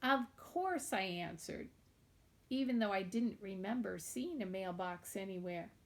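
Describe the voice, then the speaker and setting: A woman's voice: mid-range voice, expressive, unhurried. A woman reads a children's novel aloud, narrating expressively in the first person as a young girl.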